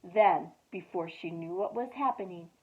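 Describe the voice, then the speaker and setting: A woman youth director reading a children's storybook aloud, expressive with dramatic pauses. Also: fairly low pitch. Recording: tinny phone-like audio.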